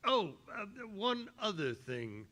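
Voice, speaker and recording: A man playing a worried, authoritative police commissioner in a 1930s radio drama, earnest and halting. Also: sits high, sing-song, bright, forceful tone, exaggerated voice. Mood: grave, worried.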